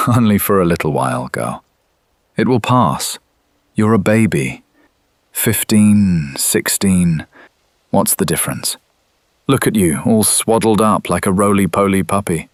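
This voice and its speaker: An actor playing a cynical, cruel, scheming Roman patrician in an audio drama, with a mocking, theatrical delivery. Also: male voice, sits low, animated, measured pace, pauses often, no room sound, studio quality. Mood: mocking cruelty.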